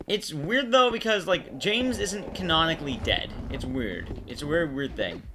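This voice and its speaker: A man's voice: on the high side, expressive, normal speed, noisy background. A man giving casual playthrough commentary, explaining things informally and talking straight to viewers. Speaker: game commentator.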